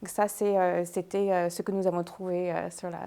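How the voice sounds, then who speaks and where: A woman's voice: on the low side, little pitch movement, normal speed, warm tone, clear, resonant tone. A woman from the United States with an American accent, a business consultant and coach speaking on a panel, hesitant and full of fillers. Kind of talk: panel discussion. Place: studio.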